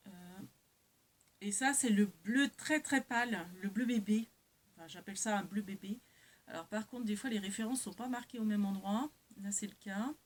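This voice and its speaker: A woman's voice: mid-range voice, a little mellow. A woman chatting casually to her viewers as a craft vlogger, hesitant and rambling, often correcting herself as she goes. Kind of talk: casual haul chat to viewers, showing items. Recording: clear background noise with hiss.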